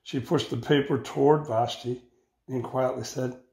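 An older man reads a children's picture book aloud in deliberate phrases, recorded indoors on a phone. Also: mid-range voice, steady tone, gravelly, darkish tone. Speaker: storybook reader. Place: indoor room with bare walls. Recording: roomy, echoing home recording.

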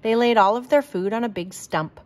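Woman doing a kids storybook read aloud, expressive, careful narration. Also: on the high side. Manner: deliberate, expressive. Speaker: storybook reader.

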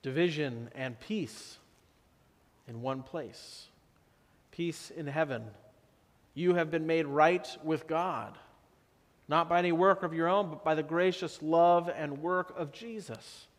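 A man in his thirties preaching a sermon as pastor, slow and measured, often dropping to a softer tone for emphasis. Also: a little high. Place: church sanctuary. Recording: long church reverb.

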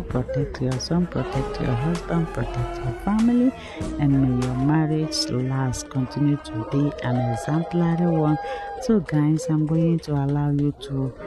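A middle-aged woman with a Nigerian accent, hosting a celebrity news vlog in a low-key late-night delivery. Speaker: channel host.

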